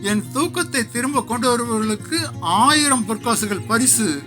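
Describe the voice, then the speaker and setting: A regal storybook king, as a male narrator acts him, earnest and eager. He makes commanding proclamations, high and animated, with music behind. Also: unhurried. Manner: declaratory, commanding.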